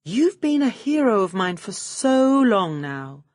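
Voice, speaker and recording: A woman playing a sly female detective in an audiobook, feigning sweet helplessness in a coaxing, drawn-out British delivery. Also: mid-range voice, big pitch swings, darkish tone, no room sound, studio quality, voice pushed up. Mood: sugary flattery.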